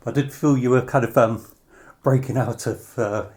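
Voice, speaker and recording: Mellow-voiced man asking tentative questions. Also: mid-range voice, steady tone, normal speed. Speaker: interviewer. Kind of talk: conversational interview questions.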